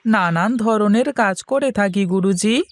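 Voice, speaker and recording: A woman's voice: medium pitch, measured pace, natural voice. Cartoon pupil, female actor: young village boy, respectful, keen, earnest.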